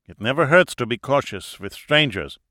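A man's voice: mid-range voice, animated, normal speed, pushed and bright, no room sound, studio quality. A man voicing a big, jovial older German expatriate in an audiobook, with a German accent and falsely gallant manners. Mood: smooth, hospitable. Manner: hearty, effusive, falsely courteous.

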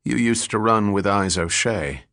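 A man playing a terse, watchful investigator in an audiobook story, asking short, calm questions. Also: lowish voice, unhurried, dry recording, studio quality. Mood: probing.

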